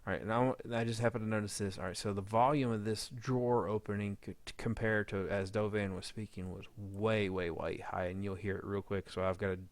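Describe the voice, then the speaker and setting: A man with a fairly low, creaky voice walks through an audio edit in a casual tutorial style. He talks informally, thinking out loud as he works. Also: steady tone, fairly quick, hardly any pauses, dry recording. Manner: informal, thinking aloud while working. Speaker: audio drama editor demonstrating editing. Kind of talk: casual screen-recorded editing tutorial.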